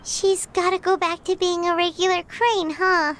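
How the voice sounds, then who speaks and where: A woman's voice: very high voice, little pitch movement, moderate pace, fairly bright tone, slight background noise. A woman playing a small, earnest young girl in a video game, with a childlike, plaintive way of speaking. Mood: worried, downcast. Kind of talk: scripted video game voice acting.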